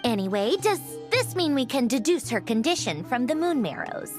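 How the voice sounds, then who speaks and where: A woman's voice: a little high, big pitch swings, normal speed. A woman voicing a proud, impatient young woman researcher in a game, snippy and dismissive. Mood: exasperated. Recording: music behind the voice.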